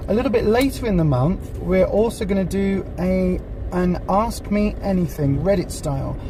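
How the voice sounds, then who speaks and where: A vlogger with a croaky voice from a cold, chatting in a rambling way with lots of ums from inside a car. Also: mid-range voice, moderate pace, recorded from a distance. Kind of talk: casual chat. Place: in a parked car. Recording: noisy car interior. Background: street and traffic noise.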